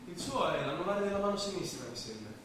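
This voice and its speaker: An actor playing a proud, desperate refugee besieged by police, declaiming in a stage drama. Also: male voice, high-pitched, sing-song, fast. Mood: proud defiance. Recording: echoey theatre stage, distant, noisy.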